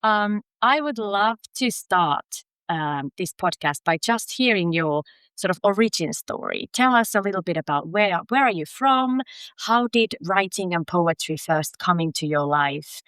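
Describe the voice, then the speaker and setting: A woman's voice: fairly low pitch, animated, unhurried, a bit bright, clear, resonant tone, no room sound. A woman hosting a podcast, friendly and encouraging as she welcomes and questions her guest. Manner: friendly, encouraging, laughs often. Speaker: podcast host. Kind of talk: podcast interview.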